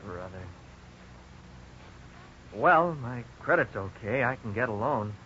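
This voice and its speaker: Bright-voiced, worried young husband. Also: male voice, medium pitch, animated, slow. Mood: worried, frustrated. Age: 20s. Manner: earnest, exclamatory, hesitant when troubled. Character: worried young debtor and husband, twenty-six, anxious but earnest. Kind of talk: 1950s radio drama acting. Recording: dull, noisy 1950s radio recording.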